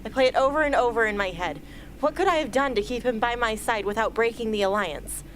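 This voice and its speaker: A woman's voice: fairly high pitch, brisk, fairly bright tone, natural voice, low-quality recording. Radio play lead: determined teen girl soldier, woman acting, earnest, light background noise. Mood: anguished. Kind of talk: amateur radio drama acting and narration. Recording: hobby recording with ambient noise.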